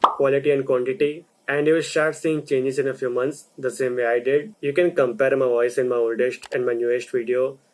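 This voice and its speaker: A young man from India who runs a video channel, talking through a voice lesson in a slow, deliberate explainer style. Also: mid-range voice, steady tone, low-quality recording. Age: young adult. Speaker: video creator. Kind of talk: explainer narration.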